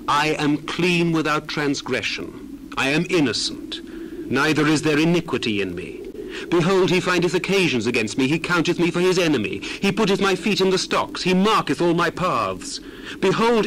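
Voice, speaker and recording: A man's voice: on the high side, background noise. An actor playing an earnest, indignant young man of ancient times, declaiming solemnly in a dramatized scripture reading. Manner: declamatory, solemn, archaic phrasing.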